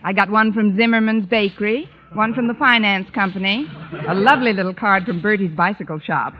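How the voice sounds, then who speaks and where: Actress as dry, wisecracking schoolteacher, muffled recording. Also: female voice, medium pitch, a little fast, lightly acted. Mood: sardonic. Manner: dry, sardonic delivery. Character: wry, wisecracking lovelorn schoolteacher, a woman. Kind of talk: 1940s-50s radio comedy acting. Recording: muffled old radio recording.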